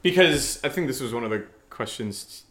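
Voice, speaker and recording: A man's voice: medium pitch, expressive, moderate pace, a bit bright. A man co-hosting a casual Q&A, talking in a rambling way peppered with "like" as an audio drama writer and actor. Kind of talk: casual Q&A chat.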